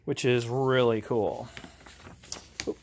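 A man's voice: mid-range voice, fairly even intonation, slight background noise. Rambling man giving a casual game review. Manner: rambling, halting, self-interrupting. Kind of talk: casual off-the-cuff product walkthrough. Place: home game garage, indoors. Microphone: handheld camera, held by the speaker.

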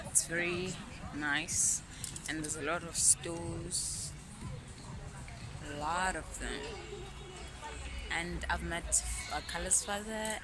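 A young woman in her twenties from South Africa, chatting to viewers at an outdoor garden market, recorded on a phone held at arm's length. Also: on the low side. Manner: chatty, addresses viewers directly. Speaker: vlogger. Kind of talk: casual vlog narration.

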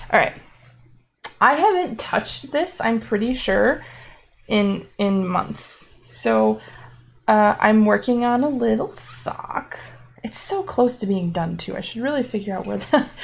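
A woman's voice: on the high side, creaky. A woman in her twenties chatting casually as host of a knitting podcast, laughing often and filling gaps with ums, filmed from an armchair at home. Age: 20s. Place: living room armchair at home.

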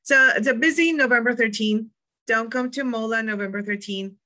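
A woman's voice: a little high, fairly quick, sharp tone. A young woman from Puerto Rico with a Puerto Rican accent, presenting a virtual museum tour in a chatty way, with frequent ums and restarts. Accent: Puerto Rican Spanish.

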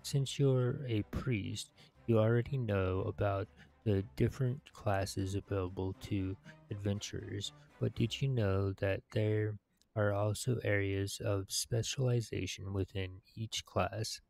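A man in his thirties reading game quest text aloud in a deliberate, halting way. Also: on the low side, steady tone, creaky, a little mellow, faint music behind.

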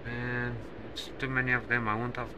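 A man's voice: mid-range voice, level tone, moderate pace. A man streaming an air traffic control game, switching between clipped radio-style commands and muttered asides. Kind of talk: gaming stream commentary with controller radio phraseology.

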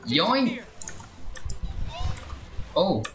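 A game streamer with a bright, forceful voice talks in unhurried bursts. The commentary is casual and excitable, with repeated phrases and little exclamations, in a roomy space with game music behind. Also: mid-range voice. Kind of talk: casual live gameplay commentary.

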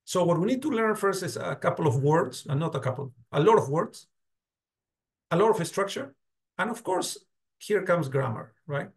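A man's voice: high-pitched, lively intonation, normal speed. A man lecturing a class on a video call, restarting words and often checking "got it?". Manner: many restarts, asks "got it?" and "do you agree?" often. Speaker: university lecturer.

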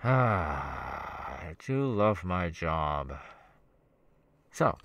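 Man acting a very deep, creaky, smug masked killer. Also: sing-song, drawn-out words, a bit bright, no room sound, full character voice. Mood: scornful. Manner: mocking, menacingly casual, chuckling taunts. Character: sadistic masked young male killer, smug, gleeful cult henchman. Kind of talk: ASMR audio roleplay, villain monologue.

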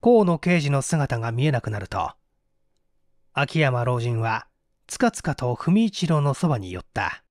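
A man reading a mystery story as a narrator, in a measured, dramatic storytelling style. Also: medium pitch, very animated, no room sound, clean studio sound. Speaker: audiobook narrator.

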